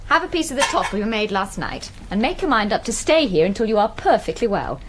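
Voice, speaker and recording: An actress playing a brisk, bossy, strong-willed English farmer's wife with articulate speech in a period radio drama. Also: female voice, mid-range voice, very animated, noisy background, natural voice. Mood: teasing, fond.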